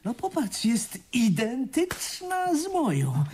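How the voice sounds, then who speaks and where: A man acting a fidgety, scheming young cousin in a Polish radio drama, excitable and repeating himself. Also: very high voice, slow pace, slight background noise, exaggerated voice. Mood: wheedling. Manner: excitable, repetitive, jittery. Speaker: actor in a radio play.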